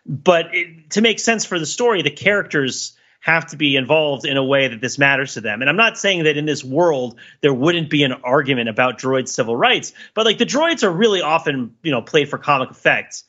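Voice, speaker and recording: A man's voice: fairly high pitch, animated, a little fast, few pauses, bright, forceful tone, dull audio. A man in a casual analytical discussion, rambling emphatically, repeating words and asking rhetorical questions as a podcast panelist.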